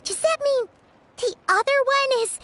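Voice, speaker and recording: A woman voicing a tiny, excitable, chatty childlike fairy companion in a video game, squeaky and full of exclamations. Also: unhurried, slight background noise, exaggerated voice. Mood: thoughtful. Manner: bubbly, exclamatory, refers to self by name. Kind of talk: animated video game dialogue.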